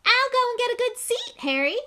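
A woman voicing an excitable young schoolboy fan who gushes questions in an eager, breathless rush. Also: extremely high pitch, brisk, voice pushed up, exaggerated voice. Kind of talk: audiobook character voice.

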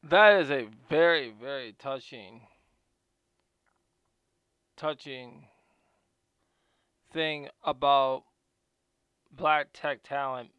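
Stumbling, halting man reading notes. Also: mid-range voice, a bit bright, smooth, dry recording, dull audio. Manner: halting, stumbles and repeats words often. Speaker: video host reading about a guest. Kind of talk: casual commentary reading from notes.

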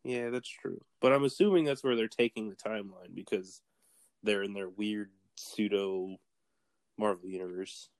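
A man's voice: fairly low pitch, normal speed, a little mellow, no echo. Texan-accented man, easygoing co-host, hesitant with lots of fillers, dry close sound. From Texas. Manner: laughs easily, hesitant, lots of "like". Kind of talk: casual chat.

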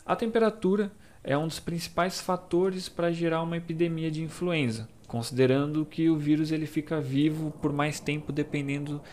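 A man's voice: on the high side, steady tone, normal speed, few pauses, darkish tone. A young Brazilian man hosting his own channel, explaining things casually with lots of fillers and asides. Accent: Brazilian Portuguese. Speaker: YouTube channel host.

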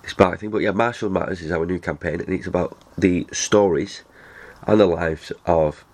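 A man's voice: low voice, normal speed, darkish tone. A man hosting a podcast, chatty and rambling, a bit nasal from a cold. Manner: rambling, chatty, many restarts. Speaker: podcast host. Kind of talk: casual chat.

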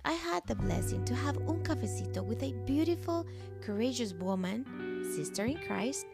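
A woman hosting a podcast narrates the opening slowly and earnestly. Her voice sits a bit high with a gravelly edge. Clear guitar music plays behind her. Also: lively intonation. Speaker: podcast host. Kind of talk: scripted podcast intro narration. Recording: intro spoken over guitar music.

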